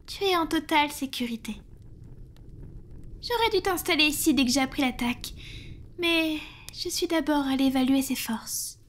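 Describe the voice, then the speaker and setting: A woman playing a powerful, protective female vampire in an ASMR roleplay, speaking in a soft, breathy, formal and self-assured way. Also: high-pitched, a little hiss or hum. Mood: calm, confiding. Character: powerful protective female vampire, commanding yet tender lover.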